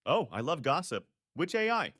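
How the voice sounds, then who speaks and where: A woman playing a cheeky, gossipy AI assistant in a comedy sketch, matter-of-fact and deadpan. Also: deep voice, moderate pace, exaggerated voice. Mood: unrepentant.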